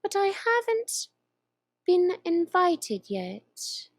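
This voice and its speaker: A woman's voice: very high voice, big pitch swings, extremely slow, no room sound, studio quality, pitched above the performer's own voice, heavily acted. A woman voicing a polite, curious young girl in a storybook reading, with a prim, earnest manner.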